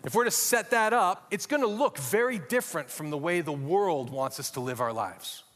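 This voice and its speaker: A man's voice: on the high side, lively intonation, moderate pace, fairly bright tone, big-room sound. A middle-aged American man from Minnesota, preaching a sermon as a guest speaker with folksy, self-deprecating humor. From Minnesota, USA. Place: church hall.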